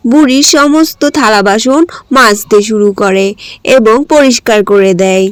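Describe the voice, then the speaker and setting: High-voiced woman narrating a children's story. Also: a little slow, clear, resonant tone, no echo.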